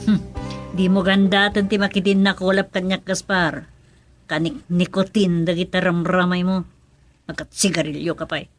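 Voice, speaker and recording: A woman's voice: on the low side, level tone, normal speed, faint music behind, natural voice. A woman with an Ilocano Filipino accent playing a loyal, plain-spoken underling in a radio drama. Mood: scolding.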